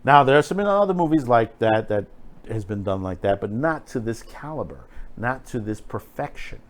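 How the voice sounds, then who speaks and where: A man's voice: mid-range voice, animated, normal speed. A man with a mid-range voice speaks off the cuff as the host of an opinion show. He rambles and halts, then drives points home by repeating phrases with emphasis. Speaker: host of an online film commentary show. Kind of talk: unscripted opinionated commentary.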